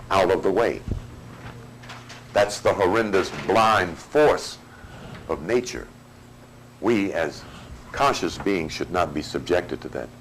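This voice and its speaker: A man giving a measured, emphatic lecture to an audience. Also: sits low, a little hiss or hum, poor audio. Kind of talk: lecture speech.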